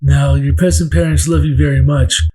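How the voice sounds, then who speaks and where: Guy doing a friendly dashing knight in a fantasy story, soft mellow tone, encouraging, faint music. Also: a little high, steady tone, normal speed, natural voice. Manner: persuasive, encouraging. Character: tall, dashing, friendly male knight recruiting children.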